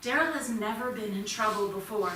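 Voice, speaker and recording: A woman in a staged play reading, playing an anxious mother who pleads for her teenage son in a halting, emotional way. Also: medium pitch, lightly acted. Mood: earnest pleading. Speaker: actor. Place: theatre stage. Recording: echoey theatre, distant mic, faint noise.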